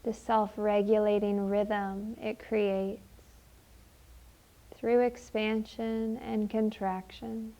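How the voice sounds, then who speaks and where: A woman leading a guided meditation in a gentle, unhurried, soothing manner with long pauses. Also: mid-range voice, little pitch movement, rough recording.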